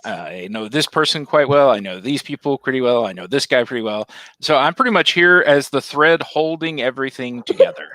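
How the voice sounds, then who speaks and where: Bright, animated man joking on a panel. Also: mid-range voice, brisk, dry recording, low-quality recording. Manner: wry, joking. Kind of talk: casual chat.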